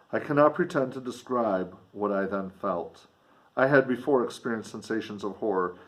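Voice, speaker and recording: A man reading a classic novel in an expressive audiobook style, with spoken commentary. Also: fairly low pitch, rough recording.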